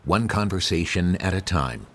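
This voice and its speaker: A man's voice: sits low, lively intonation, normal speed, fairly bright tone. A man announcing a podcast intro with a dramatic, scripted delivery over music. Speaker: show announcer.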